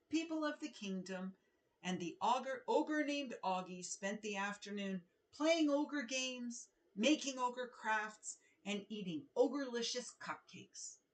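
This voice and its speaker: A woman reading a picture book aloud to children in an expressive, deliberate storytelling manner. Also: a little high, poor audio.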